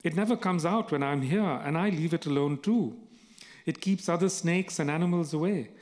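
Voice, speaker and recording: A man voicing a wary, secretive teenage caretaker from the countryside, speaking in a hushed, earnest way. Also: on the high side, moderate pace, close to an everyday voice.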